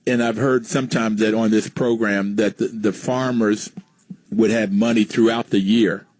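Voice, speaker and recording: A man's voice: lowish voice, fairly even intonation, moderate pace, a little mellow, no echo. An American man from West Virginia hosting a conversational radio interview, asking questions and explaining points to listeners. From West Virginia, United States. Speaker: radio show host, interviewer.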